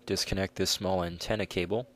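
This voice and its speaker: A man's voice: deep voice, fairly even intonation, a little fast, fairly bright tone. A man reads scripted step-by-step instructions as the narrator of a repair tutorial.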